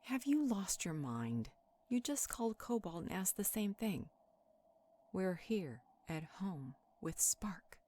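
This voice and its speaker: A woman playing a sharp-tongued, exasperated vampire healer in a roleplay audio drama, cutting and incredulous. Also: mid-range voice, very animated, slow pace.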